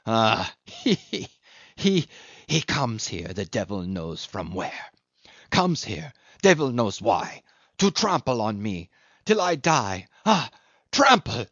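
A man's voice: on the low side, very animated, measured pace, no room sound, highs cut off. A man voicing a cringing, bitter old male schemer who whines coaxingly and breaks into cracked squeals.

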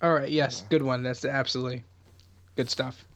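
A laid-back man hosting a relaxed conversation among friends. His pitch sits at an ordinary middle level. He talks easily and keeps handing the floor to the next person. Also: normal speed, slight background noise. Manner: laid-back, steers the turns. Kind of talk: casual chat.

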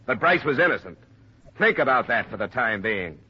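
An actor playing a hard-boiled, level-headed investigator in a 1950s radio drama, terse, firm and dry. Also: male voice, mid-range voice, big pitch swings, normal speed, breathy edge. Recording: muffled, noisy old radio recording.

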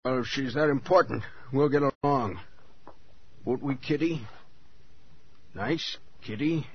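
A man's voice: medium pitch, unhurried, highs cut off, lightly acted. Actor as deferential, faintly sinister handyman, old radio. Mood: wary, coaxing. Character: lonely, big, deferential handyman with a sinister edge. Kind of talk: 1940s radio drama acting. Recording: band-limited, noisy 1940s radio broadcast.

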